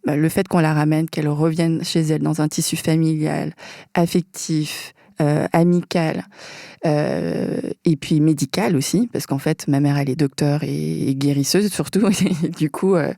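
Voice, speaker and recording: A woman with a warm, mellow voice talks as a guest in a relaxed podcast conversation. She gropes for words and pauses often with fillers. The sound is dry and close-miked. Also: deep voice, creaky, no echo. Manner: hesitant, searching for words with frequent fillers. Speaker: guest filmmaker. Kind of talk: casual conversation.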